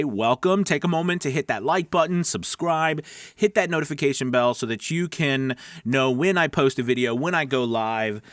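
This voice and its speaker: A man's voice: medium pitch, fairly bright tone, no echo, clean studio sound. A man hosting a live-streamed coaching show for new voice actors, talking in a chatty, rambling way with lots of fillers. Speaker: host.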